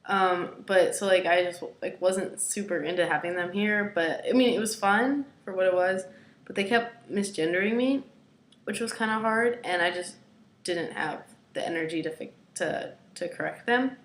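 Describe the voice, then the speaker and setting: A young adult woman with a raspy voice, talking casually to camera in a hesitant, rambling way at home, with many fillers and moments that drop to a near whisper. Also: on the low side, moderate pace. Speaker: vlogger. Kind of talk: casual personal video diary. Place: at home, indoors. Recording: roomy, echoey room.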